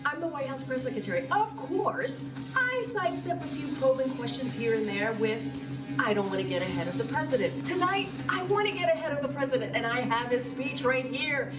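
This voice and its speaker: Lively playful woman, far and roomy. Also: high voice, fairly quick, loud music behind the voice, a loud crowd. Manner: playful and teasing. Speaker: press secretary. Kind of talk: comic sketch video.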